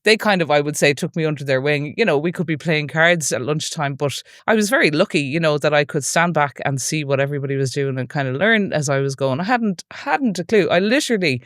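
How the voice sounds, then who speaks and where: A woman's voice: low voice, animated, a little fast, hardly any pauses, dry recording, clean studio sound. Chatty Irish woman telling stories. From west of Ireland. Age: 40s. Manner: chatty storytelling, many "like" and "you know" asides. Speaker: film producer, interview guest. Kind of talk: relaxed podcast interview.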